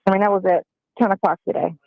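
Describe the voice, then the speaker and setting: Gravelly woman on a tinny phone line. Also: mid-range voice, level tone, fast, no room sound. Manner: insistent, defensive, blunt. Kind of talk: casual phone conversation. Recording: tinny, band-limited jail phone line.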